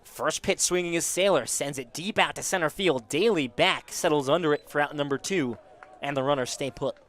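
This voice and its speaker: A man's voice: a little high, normal speed, fairly bright tone. A man calling live baseball play-by-play as a sports commentator.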